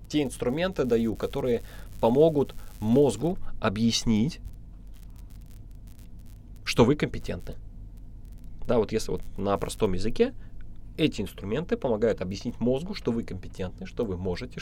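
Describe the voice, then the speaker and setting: A man giving informal motivational advice as a podcast coach, halting and repeating himself, often checking in with the listener. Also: fairly low pitch, darkish tone, a little hiss or hum.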